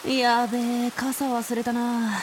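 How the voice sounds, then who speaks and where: A man's voice: very high voice, level tone, takes it slowly, very noisy, voice pushed up. A man playing a reserved young village boy in a radio drama, hesitant and grumbling. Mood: grumbling, dismayed.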